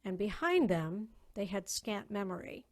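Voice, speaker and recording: A woman narrates with theatrical gravity. Her pace is slow and measured and her pitch sits in the middle, in a dramatic story reading recorded on a video call. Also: sing-song.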